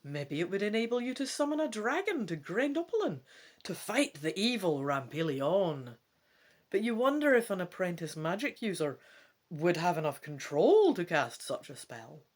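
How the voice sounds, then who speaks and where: A woman with a Scottish accent hosts a gamebook let's-play. Her voice is on the low side and very animated, moving between dramatic reading and informal commentary with theatrical expression. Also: moderate pace.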